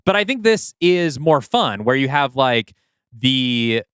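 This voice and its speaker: A man's voice: medium pitch, big pitch swings, slow, a bit bright, clean tone, dry recording, clean studio sound. A man co-hosting a casual podcast, chatty and analytical, full of "like" and fillers. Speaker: podcast co-host.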